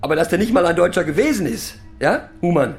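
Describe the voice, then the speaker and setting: An actor playing a foul-mouthed, boozy German pub regular who rants crudely and haltingly, sentimental underneath, in a radio drama. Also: male voice, high voice, lively intonation, soft background music. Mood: bitter, ranting. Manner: crude ranting, halting and rambling, swearing. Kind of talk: theatrical radio drama monologue.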